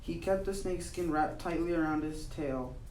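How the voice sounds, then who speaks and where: A man's voice: on the high side, moderate pace, a little mellow. A young man, a student, reading a folk tale aloud in an earnest way, with some restarts. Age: young adult. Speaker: student narrator. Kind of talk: read-aloud storytelling. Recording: echoey room, distant mic, faint hiss.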